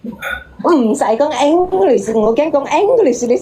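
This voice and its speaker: A woman's voice: high-pitched, expressive, takes it slowly, mellow. A woman, chatting casually as a fan calling in to a show. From lives abroad in San Francisco. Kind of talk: casual phone chat. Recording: faint noise and ambience behind.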